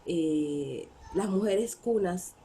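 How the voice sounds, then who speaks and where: A woman from Panama, a member of an artisan cooperative, speaking hesitantly with many pauses. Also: fairly low pitch, mellow, slight background noise.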